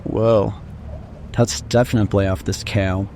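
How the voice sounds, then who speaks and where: A man's voice: fairly low pitch, steady tone, moderate pace, mellow, slight background noise, lightly acted. A man acting a wary, gloomy paranormal investigator in an audio drama. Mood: grim alarm.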